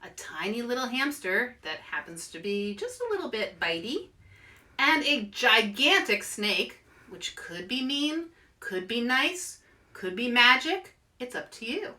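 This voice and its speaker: A woman hosting a children's story time as an author, giving simple, encouraging call-and-response instructions with animated, repeated action words. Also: on the high side, unhurried. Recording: roomy echo, distant microphone.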